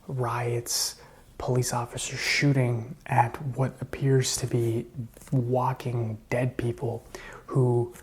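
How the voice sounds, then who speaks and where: A man playing a wary but helpful apocalypse survivor in a whispered, soft-spoken ASMR roleplay, breathy, hesitant and conversational. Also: natural voice. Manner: hesitant, low-key, conversational. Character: wary, helpful man sheltering a stranger during zombie apocalypse.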